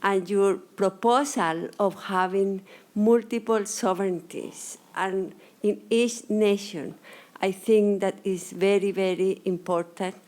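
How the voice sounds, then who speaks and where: Halting woman commenting from the audience. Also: medium pitch, fairly even intonation, a little mellow. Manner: halting, searching for words. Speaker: audience member. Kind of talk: question period comment.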